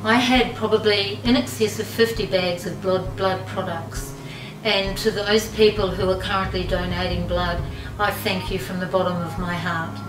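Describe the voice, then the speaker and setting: A woman's voice: mid-range voice, steady tone, normal speed, echoey room, distant mic, music in the background, rough recording. An older woman giving heartfelt, pleading testimony in an interview. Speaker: interviewee, transplant recipient. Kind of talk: earnest testimony.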